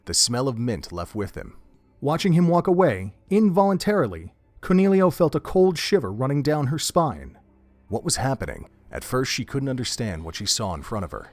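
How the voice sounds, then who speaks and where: A man narrating a scripted audio drama in a measured, descriptive storytelling manner. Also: medium pitch, big pitch swings, a little mellow, dry recording.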